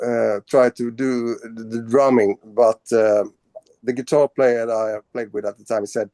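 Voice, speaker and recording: A man's voice: medium pitch, fairly even intonation, normal speed, mellow, no room sound. A man from Sweden, a band's singer, answering interview questions casually, with many fillers and restarts. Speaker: band vocalist.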